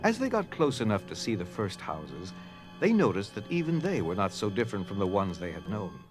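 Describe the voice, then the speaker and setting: A man's voice: lowish voice, huge pitch swings, a little fast, music in the background. A man narrating a scripted story dramatically in an audio drama.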